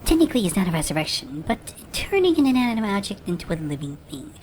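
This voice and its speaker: A woman voicing an earnest, excitable female pony magician with a theatrical manner that moves between hushed and shrieking, in an animated fan drama. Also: medium pitch, fast, lightly acted. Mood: grandiose. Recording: echoey room, long reverb, background hiss.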